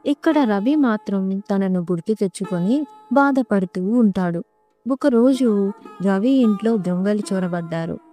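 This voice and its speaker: Woman narrator for kids cartoon story, mellow tone, little music underneath. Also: mid-range voice, moderate pace. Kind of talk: scripted children's story narration.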